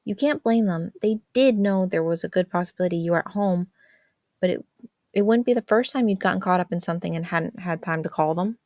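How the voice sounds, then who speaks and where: A woman's voice: on the low side, fairly quick, a little mellow, no room sound, dull audio, close to an everyday voice. A woman voicing a worried, exasperated teenage older sister in a story, chiding and emphatic.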